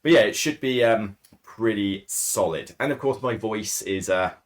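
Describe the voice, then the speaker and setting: A man with a raspy, hoarse voice, hosting a solo podcast in casual, rambling talk full of fillers and self-corrections. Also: on the low side, normal speed. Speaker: podcast host. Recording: distorted, too-loud mic.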